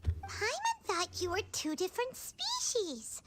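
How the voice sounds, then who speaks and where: A woman voicing a small, chirpy, childlike fairy companion in a video game, curious and squeaky. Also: wildly swooping pitch, unhurried, background noise, exaggerated voice. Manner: inquisitive, speaks of self in third person.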